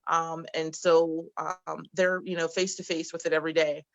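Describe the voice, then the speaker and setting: A woman's voice: low-pitched, little pitch movement, moderate pace, gravelly, no echo, muffled recording. Woman host, panel moderator, chatty, encouraging, dry sound. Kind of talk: moderating a panel on a video call. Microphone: video call.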